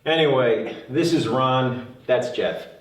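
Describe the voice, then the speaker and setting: A man's voice: mid-range voice, slow, natural voice. A man at a script table read playing an easygoing young guy who talks casually and a little hesitantly. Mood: friendly, casual. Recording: very echoey room, distant mic.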